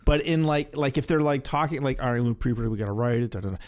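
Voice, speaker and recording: A man's voice: medium pitch, fast talker. A man co-hosting a podcast in casual, opinionated chat. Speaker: podcast co-host.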